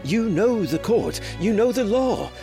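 A man playing a conflicted, cautious and wry nobleman in an audio drama, with measured courtly phrasing and a defensive edge. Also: high voice, expressive, over music.

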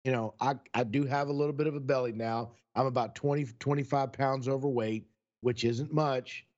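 A man's voice: medium pitch, fairly even intonation, fairly quick, a little mellow, lo-fi sound. A man in his sixties chatting casually on a podcast, rambling through stories. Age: 60s. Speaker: podcast co-host.

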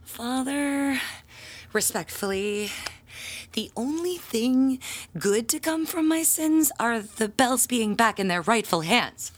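A woman's voice: a little high, animated, slow, a little hiss or hum. A woman playing a stubborn, self-righteous church bell ringer in an audio drama, with a defensive, dramatic manner. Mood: reluctant, exasperated. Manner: defensive, dramatic, sighing and groaning. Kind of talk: naturalistic audio drama acting.

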